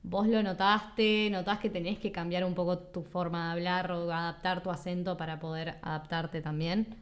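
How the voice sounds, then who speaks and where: A woman's voice: fairly low pitch, level tone, moderate pace. Uruguayan woman chatting casually as host. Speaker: podcast host. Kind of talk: casual conversational interview.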